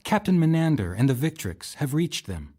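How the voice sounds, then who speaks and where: An actor playing a loyal, deferential military aide in an audio drama, respectful and discreet, with a husky voice. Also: male voice, on the high side, very animated, moderate pace, warm tone. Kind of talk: full-cast audio drama acting.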